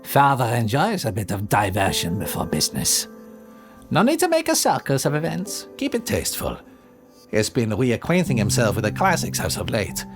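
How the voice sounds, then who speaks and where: A man's voice: medium pitch, wildly swooping pitch, moderate pace, faint music behind. A man voicing a purring vampire prince. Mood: composed. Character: seductive aristocratic male vampire prince. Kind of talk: ASMR audio drama acting.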